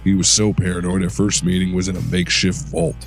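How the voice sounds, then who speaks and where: Major Liberty, a low-voiced veteran super-soldier. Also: male voice, quick pace, over loud music. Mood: calm. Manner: steady recounting, low-key storytelling. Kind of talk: audio drama acting.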